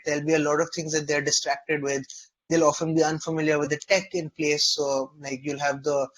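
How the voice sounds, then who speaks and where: A man with a slightly high voice coaches someone after a mock interview. He speaks conversationally but articulately, explaining each piece of feedback in a constructive, orderly way. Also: husky, poor audio.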